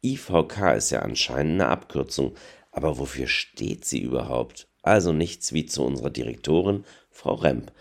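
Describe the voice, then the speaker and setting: A man's voice: a bass voice, expressive, normal speed, gravelly. A man hosting a school radio show, reading out scripted links between interviews and songs. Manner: read-out linking announcements.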